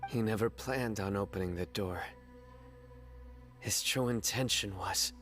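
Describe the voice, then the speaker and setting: A stern, resolute young guardian with a low, steady voice. He speaks tersely and calmly, each line clipped and certain. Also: a little slow, faint music behind. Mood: grave. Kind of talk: game cutscene voice acting.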